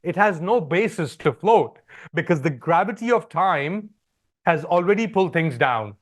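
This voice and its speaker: A man giving animated political commentary as a guest, emphatic and rhetorical, voicing quoted opinions along the way. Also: fairly high pitch, moderate pace.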